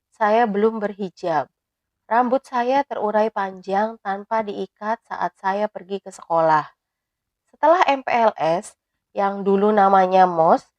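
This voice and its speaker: A woman in her thirties, a teacher, reading a prepared personal reflection in a measured, even way. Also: medium pitch, no room sound, lo-fi sound. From Indonesia. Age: 30s.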